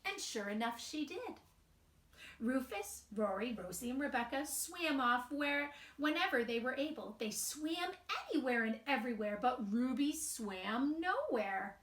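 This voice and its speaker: A woman reading a children's picture book aloud in a deliberate, expressive way with emphatic pauses. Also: sits high. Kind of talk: read-aloud children's storytelling. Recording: roomy, echoing sound.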